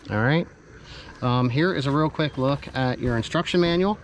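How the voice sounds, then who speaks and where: Man talking off the cuff, noisy handheld recording. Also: mid-range voice. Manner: halting, thinking aloud while handling parts. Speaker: hobbyist vlogger doing a product unboxing. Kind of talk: casual hands-on unboxing commentary, off the cuff. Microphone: handheld camera held while working, varying distance. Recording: noisy handheld camera audio, clear background noise.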